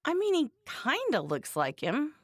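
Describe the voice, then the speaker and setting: Fretful anime mom for a game, blunt n scolding, swoopy pitch, super dry sound. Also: female voice, huge pitch swings, unhurried, no room sound, full character voice. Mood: matter-of-fact. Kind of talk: animated game voice acting.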